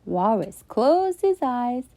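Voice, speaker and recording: A woman reading a children's bedtime story aloud, gentle and expressive. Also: fairly high pitch, slow.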